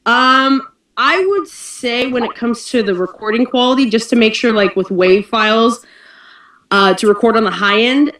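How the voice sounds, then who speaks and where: A woman talking casually in an online group meeting, informal and a little halting. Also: medium pitch, a bit bright. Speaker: narrator on the production team. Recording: echoey voice-call audio, far from mic.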